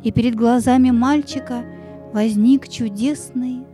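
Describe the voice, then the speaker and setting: A woman's voice: on the high side, steady tone, measured pace, over music. A woman narrator telling a fairy tale expressively in an audio play.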